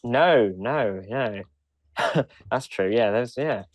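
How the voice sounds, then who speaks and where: A man's voice: medium pitch, very slow, no room sound. A man co-hosting a podcast in casual conversation. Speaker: podcast co-host. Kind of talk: casual chat.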